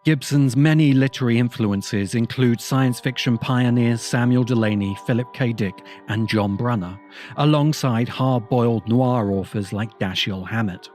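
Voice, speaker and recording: A man reading a scripted video essay in an earnest, measured, literary manner. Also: medium pitch, a little mellow. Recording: music bed under the voice. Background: background music.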